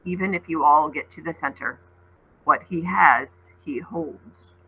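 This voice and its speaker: A woman voicing a menacing giant wolf beast from a storybook, with a hoarse, breathy growl and slow, stealthy delivery. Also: sits low, phone-quality sound, a little hiss or hum, voice pushed down. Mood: ominous.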